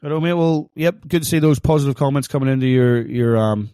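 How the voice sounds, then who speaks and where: A man's voice: medium pitch, fast, dark tone, clean tone, no room sound, studio quality. A man with a thick accent co-hosting a casual podcast, chatty and teasing, laughing often. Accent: thick non-Australian accent. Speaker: podcast co-host.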